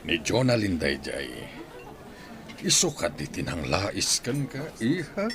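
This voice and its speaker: A man acting in an Ilocano radio drama as the calm father of a grown daughter. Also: low-pitched, slow pace, noisy background, heavily acted. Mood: calm, questioning. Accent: Filipino, Ilocano. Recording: music behind the voice. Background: music.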